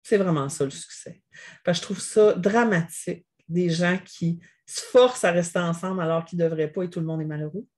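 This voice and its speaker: A woman with a Québécois accent, a family business mediator explaining as an interview guest in a measured, reflective way. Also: fairly low pitch, a little mellow. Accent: Québécois French. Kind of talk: interview answers.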